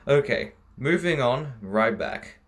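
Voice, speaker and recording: A man's voice: medium pitch, big pitch swings, slow pace. A man hosting a reaction video, talking casually in a hesitant, rambling way about what he watches.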